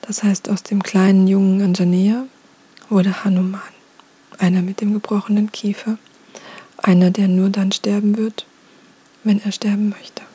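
A woman softly whispering a myth as a storyteller, with casual fillers. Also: mid-range voice, flat delivery, normal speed, long pauses, slightly rough.

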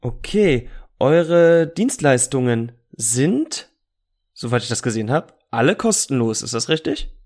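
A male podcast host poses his questions in a halting, self-correcting way. His voice sits in an ordinary middle range and sounds casual and conversational. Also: medium pitch, big pitch swings, darkish tone. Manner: hesitant, rephrases his questions. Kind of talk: interview questions.

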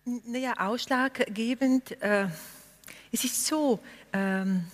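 A woman poet from South Tyrol whose first language is Ladin, answering interview questions hesitantly and pausing often to search for words. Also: on the high side, in a large hall. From South Tyrol, Italy. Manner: hesitant, searching for words with many pauses and fillers.

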